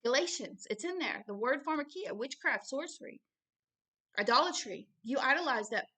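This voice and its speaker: A woman's voice: sits high, animated, normal speed, pushed and bright. A woman giving extemporaneous Bible teaching and commentary in short, insistent phrases, repeating key words for emphasis. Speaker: religious teacher and show host.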